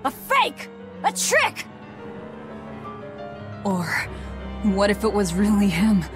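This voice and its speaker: A woman's voice: medium pitch, huge pitch swings, takes it slowly, over music. A woman playing a bitter, tormented young killer with a raw, intense delivery in a game cutscene. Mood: frantic anger. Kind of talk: dramatic game cutscene acting.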